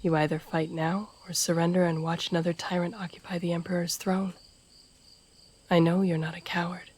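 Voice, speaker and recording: A woman playing a stern, loyal, guarded masked assassin in a fantasy audio roleplay, speaking gravely and formally, often in a hushed breath. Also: lowish voice, flat delivery, moderate pace. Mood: resolute. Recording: noisy outdoor night ambience with crickets. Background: crickets and night insects.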